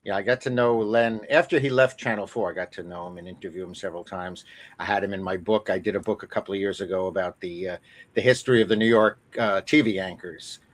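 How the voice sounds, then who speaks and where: An older American man hosting a podcast, interviewing conversationally and piecing his questions together haltingly. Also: sits low. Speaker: podcast host.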